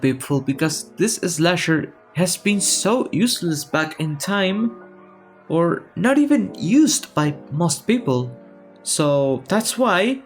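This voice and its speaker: A gaming creator whose first language is Spanish talks through a character ranking, stopping and restarting phrases as the thoughts come. The pitch sits mid-range but swings up and down a lot. Soft music plays quietly underneath. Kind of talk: ranking commentary on a game.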